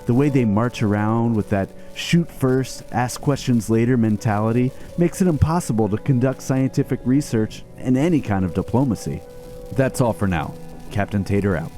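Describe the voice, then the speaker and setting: A man playing an idealistic starship captain and scientist, narrating a formal, measured captain's log in a game video. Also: medium pitch, dark tone, faint music behind, natural voice. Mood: uneasy, earnest.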